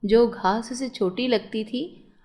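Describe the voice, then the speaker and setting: A woman's voice: fairly high pitch, takes it slowly, darkish tone. A woman narrating a scripted moral story for children as a storyteller.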